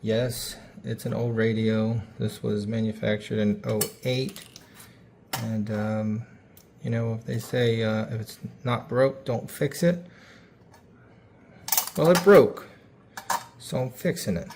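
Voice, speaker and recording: A man's voice: lowish voice, fairly even intonation, slow, vocal fry, long pauses, a little mellow, slight background noise. A man hosting a DIY repair video, talking through the hands-on work in a casual, folksy, informal way.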